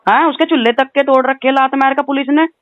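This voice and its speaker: A woman's voice: high-pitched, fairly even intonation, brisk, dry recording. A woman with a western Uttar Pradesh accent talks on a recorded phone call in an insistent, aggrieved way, often adding questioning tags. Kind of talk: heated phone conversation.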